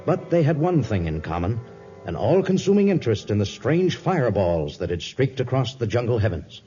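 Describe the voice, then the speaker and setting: A man's voice: medium pitch, very animated, normal speed, mellow, husky. A man announcing and narrating a 1950s radio drama in a dramatic, portentous, scripted manner. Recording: dull lo-fi 1950s radio recording. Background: faint music.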